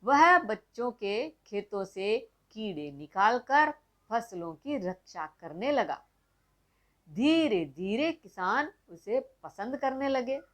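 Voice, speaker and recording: A woman narrating a moral bedtime story for children, speaking gently and addressing the kids directly. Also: on the high side.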